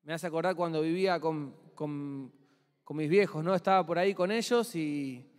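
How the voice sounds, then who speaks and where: A young Argentine man preaches in a casual, talkative way and keeps putting questions to the congregation. His voice sits high for a man. It rings with a long reverb from a church hall. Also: normal speed, a little mellow, smooth. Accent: Argentine Spanish. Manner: chatty, asks the congregation questions. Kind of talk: conversational sermon.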